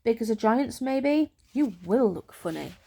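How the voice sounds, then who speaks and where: A woman's voice: fairly high pitch, expressive, unhurried, vocal fry, warm tone, exaggerated voice. Woman playing a naughty, teasing little girl. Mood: sly teasing. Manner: mock-shocked, solemn teasing. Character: naughty, teasing young girl feigning solemn concern.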